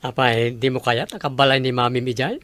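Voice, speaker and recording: Kind fatherly Ilocano man, medium pitch acted. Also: fairly even intonation, brisk, a bit bright, slight background noise, natural voice. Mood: friendly. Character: kind, fatherly man courting a village mother. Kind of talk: Ilocano audio drama acting.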